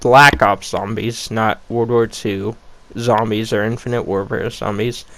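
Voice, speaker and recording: A man's voice: fairly low pitch, steady tone, unhurried, creaky, a bit bright. A young man ranking upcoming games in a casual vlog, blunt and rambling with a lot of swearing, his voice a little worn. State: losing his voice. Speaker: gaming YouTuber.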